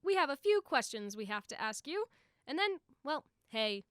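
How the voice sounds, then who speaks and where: A woman's voice: high-pitched, very animated, normal speed, fairly bright tone, smooth, no room sound, clean studio sound. A young woman playing a bossy, theatrical young god who hosts a talk show, easily exasperated. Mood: brisk host. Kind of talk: amateur audio drama acting.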